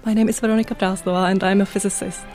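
A woman's voice: mid-range voice, flat delivery, very fast, a little mellow. Woman, polite careful question, faint music. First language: Czech. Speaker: audience member, physicist.

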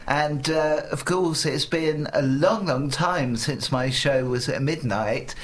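A middle-aged man hosting a long-running radio show, chatting with his guest in a relaxed, easygoing way. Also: medium pitch, extremely slow. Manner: relaxed, chatty, laughs easily. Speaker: radio host. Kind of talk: casual radio interview.

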